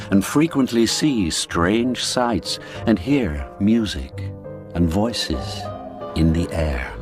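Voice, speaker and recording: A man reads a classic story in a very deep voice over clearly present music. He takes his time, shaping literary sentences with deliberate pauses. The intonation rises and falls widely, in the manner of a storybook narrator. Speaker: audiobook narrator. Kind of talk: scripted storybook narration of a classic gothic tale.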